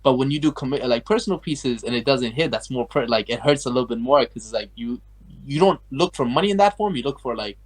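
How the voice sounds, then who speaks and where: A young man from Afghanistan talks at a quick clip in a mid-range voice. He rambles through his story as an interview guest, stringing thoughts together with constant fillers. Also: medium pitch, animated. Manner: rambling, heavy use of like and you know. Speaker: guest artist. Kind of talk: casual interview chat.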